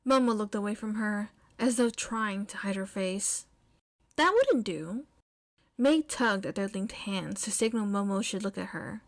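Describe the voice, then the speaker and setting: A woman's voice: mid-range voice, steady tone, normal speed, few pauses. A woman reading scripted fiction aloud as an audiobook-style narrator. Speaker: podfic narrator. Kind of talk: scripted story narration, with casual chat afterwards.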